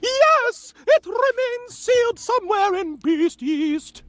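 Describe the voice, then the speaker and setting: A woman voicing a solemn, grandiose elder fairy guardian in a theatrical, drawn-out table read of a fantasy game script. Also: very high-pitched, expressive, no room sound, exaggerated voice. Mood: urgent, grave. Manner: theatrical, drawn-out, breaks into flustered asides.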